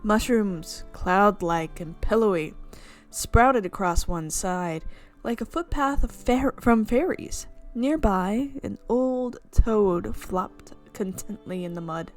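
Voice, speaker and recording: A woman streaming a visual novel, reading the narration aloud with expressive, playful reactions. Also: medium pitch, takes it slowly, hardly any pauses, faint music behind. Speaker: game streamer.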